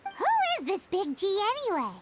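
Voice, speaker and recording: An actress voicing a tiny, childlike fairy companion in a video game, cute, blunt and sarcastic, with a squeaky voice. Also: female voice, animated, brisk, loud music behind the voice. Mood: curious, skeptical. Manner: refers to self in third person, exasperated.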